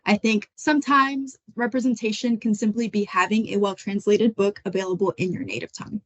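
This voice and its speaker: A young adult woman from Florida giving a measured, prepared book talk over a video call. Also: mid-range voice, steady tone. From United States (Florida). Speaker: book selection editor. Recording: muffled dull audio.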